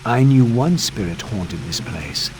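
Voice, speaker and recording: Brooding wraith antihero for a game: theatrical, formal, solemn narrator, scored with music. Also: male voice, on the low side, expressive, a little slow. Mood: brooding, resolute. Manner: formal, solemn, archaic diction. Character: brooding undead wraith, eloquent male antihero seeking his fate.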